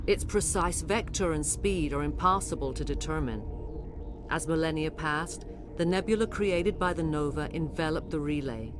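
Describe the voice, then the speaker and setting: A woman playing a regal, remorseful alien mother in a video game, speaking in solemn, measured, formal language. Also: low-pitched, lightly acted. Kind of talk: dramatic video game acting.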